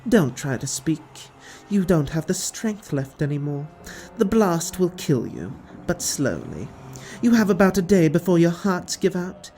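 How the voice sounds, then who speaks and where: Man putting on a high, gleeful villainess. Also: very animated, measured pace, warm tone, faint music behind. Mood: gloating. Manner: taunting, mock-apologetic. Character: gleeful, gloating young female alien villain, freshly regenerated.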